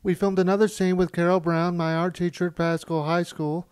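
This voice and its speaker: A man's voice: high-pitched, level tone, brisk, a little mellow, slight background noise. A man narrating a retrospective about amateur filmmaking in a conversational, storytelling way. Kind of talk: reminiscing documentary narration. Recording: voice-over with music behind. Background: music.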